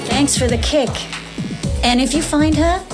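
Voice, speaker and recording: An actress playing a jaded, flirtatious, streetwise barfly with a languid, familiar manner in a video game. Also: female voice, high-pitched, unhurried, music in the background. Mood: bitter, jaded. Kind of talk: video game cutscene acting.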